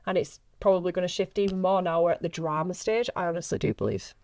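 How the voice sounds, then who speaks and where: A woman's voice: lowish voice, fast talker, darkish tone. A woman chatting casually and informally as an actress on a podcast. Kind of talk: casual chat.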